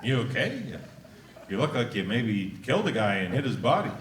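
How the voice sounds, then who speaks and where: An actor reading a laid-back, deadpan man at a live table read. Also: mid-range voice, moderate pace, natural voice. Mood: dry. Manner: deadpan, dry. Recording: echoey hall with faint noise.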